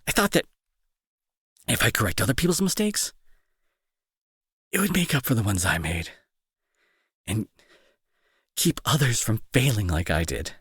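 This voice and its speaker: A very husky, breathy bully turned protector , acted by a man in an intimate audio drama. His pitch is on the low side and swings widely as he speaks in halting, pleading, emotional phrases. The sound is dry and close. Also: big pitch swings, fairly bright tone, no echo, full character voice. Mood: anguished, apologetic. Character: angry male bully turned remorseful, protective, grieving brother.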